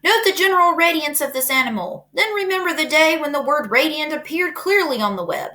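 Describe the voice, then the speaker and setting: A woman's voice: expressive, fairly quick, sharp tone. A woman doing a pompous fair announcer. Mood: grandiose. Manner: grand, booming announcer delivery.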